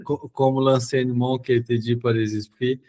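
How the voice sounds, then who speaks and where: A man's voice: medium pitch, little pitch movement, dark tone. A Brazilian man whose first language is Portuguese, hosting an online spiritist study session and often restarting his words. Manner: slow, halting, repeats words as he searches for them. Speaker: host of a spiritist study group.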